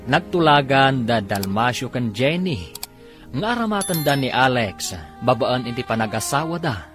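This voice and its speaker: A man reading scripted narration as the announcer of a radio drama. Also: mid-range voice. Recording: music under the voice. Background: dramatic music.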